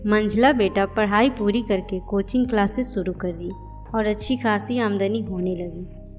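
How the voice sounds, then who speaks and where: A woman's voice: medium pitch, unhurried. A woman reading scripted narration for a cartoon family story, with music behind her.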